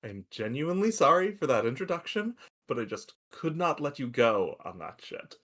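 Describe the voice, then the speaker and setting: A man's voice: mid-range voice, sing-song, normal speed, fairly bright tone. A man playing an irreverent slacker space probe in a podcast table read, casual, flippant and rambling.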